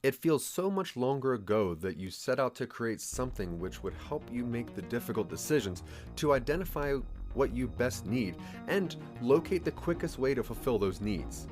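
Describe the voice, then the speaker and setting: A man narrating a scripted audio drama in a measured storytelling manner. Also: mid-range voice, big pitch swings, music in the background.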